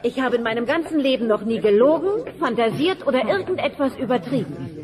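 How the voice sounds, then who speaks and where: A woman's voice: high voice, expressive, brisk, a crowd in the background. A woman playing a dignified, indignant young dinosaur worker testifying in a comic radio play, firm and formal.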